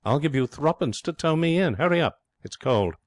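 A man's voice: on the high side, lively intonation, moderate pace, no echo, pitched above the performer's own voice, natural voice. A man voicing a breezy, self-satisfied young schemer in a comic story, florid and cajoling with cheerful pomposity. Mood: breezy urging. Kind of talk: storybook character voice in comic fiction reading.

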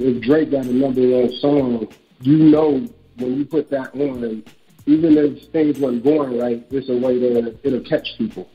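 A man in his thirties from Chicago, an author and real estate broker, speaking candidly and reflectively in a casual interview. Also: medium pitch. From Chicago, USA. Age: 30s. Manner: candid, reflective, speeds up when quoting himself. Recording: dull lo-fi audio with faint music.

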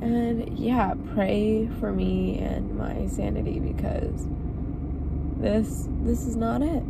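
A tired, congested young woman complains casually to a phone propped on her dashboard. Her voice sits a little high. Traffic noise comes through clearly from outside the car. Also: fairly even intonation, takes it slowly. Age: young adult. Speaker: vlogger, cosmetology student. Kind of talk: casual vlog to camera. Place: parked car by a road. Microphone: phone camera on the dashboard.

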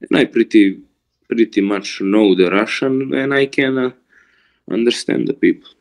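Ein junger Mann, der gemütlich und zögernd in einem Videoanruf erzählt. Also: male voice, medium pitch, moderate pace, gravelly. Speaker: video chat stranger. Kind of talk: casual chat.

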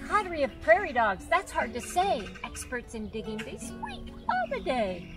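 An older woman reads a rhyming children's book aloud outdoors, her fairly high voice swooping up and down in a bouncy, sing-song way. She sounds like a friendly guide at storytime, picked up by a camera a few steps away. Loud music plays underneath her. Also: huge pitch swings, a little slow. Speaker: zoo guide and author reading aloud. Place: outdoors by a pond in a zoo.

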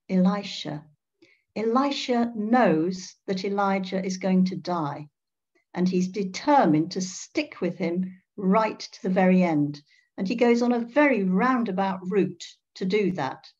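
Older lady doing a thoughtful sermon, slow with lots of pauses, bit muffled like a video call. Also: mid-range voice, a little mellow. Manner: measured, with pauses and rhetorical questions. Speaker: priest preaching. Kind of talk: reflective sermon. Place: indoor room at home. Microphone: computer mic on a video call.

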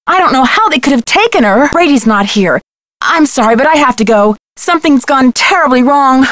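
A woman's voice: sits high, a bit bright, no echo. A woman voicing a plucky young amateur detective in a video game, earnest, inquisitive and determined. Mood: alarmed.

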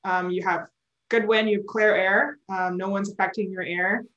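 Young woman, from Barbados, mid-pitch, chatty, laughs often, video call, echoey room. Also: steady tone, normal speed, a bit bright, highs cut off. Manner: chatty, explains with hand gestures, laughs often. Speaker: sailor, interview guest. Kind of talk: remote video interview. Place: indoor room at home. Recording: roomy video call audio.

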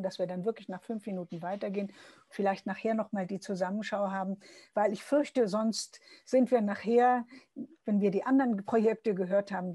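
A woman in her sixties moderates a discussion on a video call. Her voice is mellow and mid-pitched, and she talks in a halting, informal way. The sound is dry. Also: husky, dry recording. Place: book-lined study on a video call.

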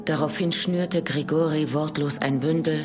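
An actress playing a grave, fearful young village woman in a horror radio drama, in a hushed, sorrowful manner. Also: sits low, fairly even intonation, moderate pace, close to an everyday voice. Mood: grave, haunted. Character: sorrowful young village woman recounting her family's doom. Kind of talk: German radio drama narration and dialogue. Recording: music behind.

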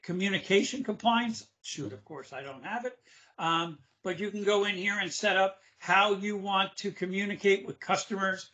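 Man, fairly high voice, bright tone, slightly hoarse, chatty webinar host, video call. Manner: loose and chatty, with fillers. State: losing his voice, hoarse. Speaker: webinar presenter. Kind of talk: casual screen-share demo.

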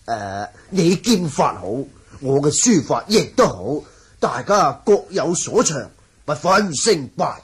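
A man's voice: on the high side, big pitch swings, slow pace, slightly rough, heavily acted. An actor with a Hong Kong Cantonese accent playing an exuberant older swordsman obsessed with calligraphy, in a theatrical, exclaiming radio drama style. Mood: elated. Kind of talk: 1980 radio drama acting. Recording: noisy old radio drama recording.

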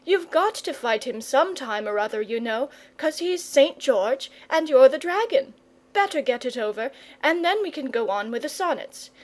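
Woman doing an excitable young boy. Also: sits high, moderate pace. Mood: pleading. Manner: excited, breathless urgency.

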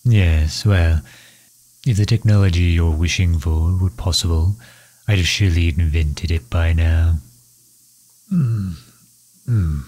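A man's voice: low-pitched, slow, pauses often, darkish tone. A man playing a refined, courteous villain and inventor in an intimate audio roleplay, speaking formally and solicitously, as if musing aloud. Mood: musing, explanatory. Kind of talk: intimate audio roleplay, ASMR-style acting.

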